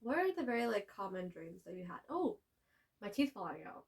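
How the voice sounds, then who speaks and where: A woman's voice: medium pitch, fairly quick. Young woman chatting casually on a podcast. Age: young adult. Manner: animated storytelling with frequent laughs. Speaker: podcast co-host. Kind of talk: casual chat.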